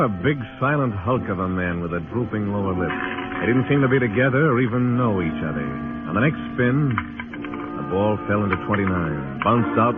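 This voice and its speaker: An American actor playing a tough, laconic Old West marshal, narrating and speaking tersely in an old radio drama. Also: male voice, fairly low pitch, close to an everyday voice. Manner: terse, dry. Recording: tinny old radio recording with music.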